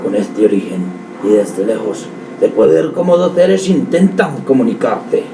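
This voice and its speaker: A man reading dramatic scripted radio narration in a solemn, deliberate manner. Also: fairly low pitch. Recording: echoey, distant, noisy amateur recording.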